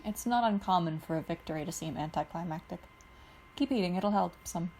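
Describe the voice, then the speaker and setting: A woman reading aloud, voicing a calm, steady young male leader who speaks gently and measured. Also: on the low side, slight background noise, close to an everyday voice.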